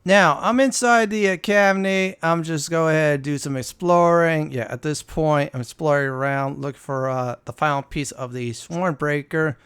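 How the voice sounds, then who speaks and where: Man, very smooth clear voice, slightly high pitch, casual game commentary, instructive, dry sound. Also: moderate pace, hardly any pauses, a bit bright, dry recording, faint music behind. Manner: informal, instructive. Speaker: host of a gaming channel.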